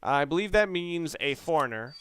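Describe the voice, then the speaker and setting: A man's voice: fairly high pitch, expressive, moderate pace, a little hiss or hum. A man giving casual, off-the-cuff video game commentary, rambling and often rephrasing himself mid-sentence. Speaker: let's play commentator. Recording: loud game music and gunfire behind. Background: video game music and gunshots.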